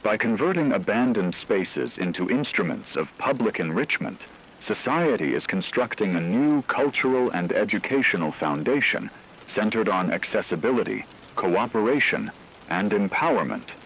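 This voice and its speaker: A man playing a formal, measured radio news anchor reading scripted reports in a solemn manner. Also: on the low side, lively intonation, a bit bright, a little hiss or hum, rough recording. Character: grave male radio news anchor in a dystopian broadcast.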